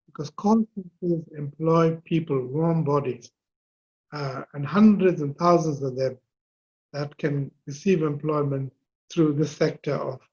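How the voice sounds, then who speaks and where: A man's voice: fairly high pitch, unhurried, a little mellow, lo-fi sound. A man from giving an earnest conference speech, sometimes repeating words as he restarts. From South Africa. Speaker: conference speaker.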